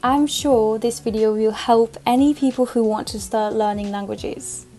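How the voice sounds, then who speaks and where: Woman in her twenties, Japanese accent, slightly high voice, scripted vlog explainer, deliberate non-native phrasing, faint music behind. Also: fairly even intonation, warm tone. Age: 20s. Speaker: language vlogger.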